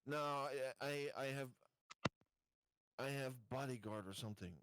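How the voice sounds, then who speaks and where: A man's voice: medium pitch, unhurried, background noise. A man playing a tabletop game, thinking aloud in casual, somewhat hesitant chat.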